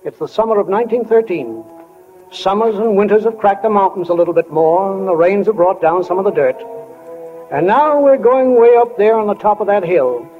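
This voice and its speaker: An actor playing a folksy, reflective small-town storyteller in a 1940s radio play, musing and homespun. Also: male voice, high voice, faint music behind, lightly acted. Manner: homespun, musing, unhurried, addresses listeners as friends. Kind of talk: 1940s radio acting, narration. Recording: tinny old 1940 radio broadcast.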